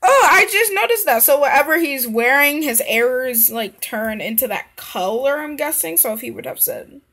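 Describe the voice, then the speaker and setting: Young lady reacting to a video, bright voice, goes up and down, casual. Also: sits high, expressive, normal speed.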